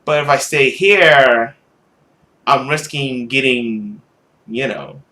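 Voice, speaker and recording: A man's voice: medium pitch, slow, bright, forceful tone. A man hosting a gaming video, switching between casual commentary and dramatic narration of a visual novel.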